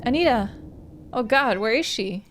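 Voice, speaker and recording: A woman's voice: fairly high pitch, expressive, normal speed, a little hiss or hum, lightly acted. Woman acting a frightened, pleading young woman. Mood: scared, calling. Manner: urgent, pleading, emotional calling out. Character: frightened, devoted young woman searching in the dark.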